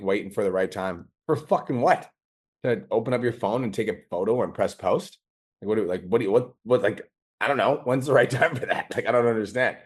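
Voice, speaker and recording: A raspy, hoarse man hosting a podcast, giving a blunt, profane motivational monologue and mocking excuses in quoted voices. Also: on the low side. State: hoarse from days of talking. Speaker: podcast host and business coach.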